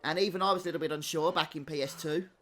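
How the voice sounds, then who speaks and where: A man giving casual, chatty live commentary as a gaming streamer, offering measured opinions. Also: a little high, steady tone, a bit bright, soft background music. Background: game soundtrack.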